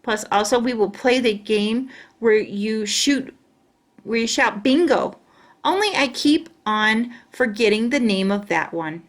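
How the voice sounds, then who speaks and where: A woman's voice: fairly high pitch, unhurried, close to an everyday voice. A woman voicing a chatty, boastful, stubborn young schoolgirl in a children's storybook, with emphatic childlike wording. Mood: chatty, proud. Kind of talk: children's storybook read-aloud.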